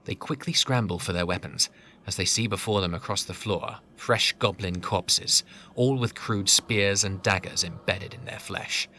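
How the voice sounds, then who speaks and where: A man narrating a fantasy audio drama from a script, with a measured storytelling delivery. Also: low-pitched, sharp tone, a little hiss or hum.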